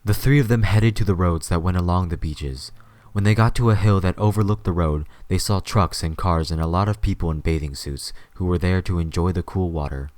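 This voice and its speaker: Deep-voiced male story narrator. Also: a little fast, darkish tone, dry recording.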